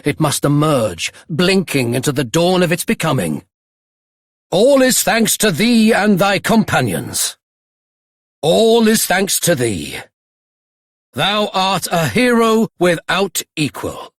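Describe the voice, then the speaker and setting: A grand older monarch from a fantasy video game. He has a British accent and a somewhat high, husky voice. His delivery is courteous, formal and very measured. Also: very animated, dry recording, clean studio sound, heavily acted. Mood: proud, ceremonial. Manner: formal, archaic, stately. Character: grand, courteous older king, stately and solemn. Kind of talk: video game character acting.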